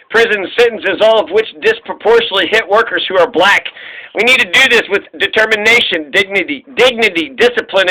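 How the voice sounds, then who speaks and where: A man's voice: high-pitched, bright, forceful tone, low-quality recording. A man reading a political questionnaire aloud, sometimes stumbling and repeating phrases as he goes. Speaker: video creator reading aloud.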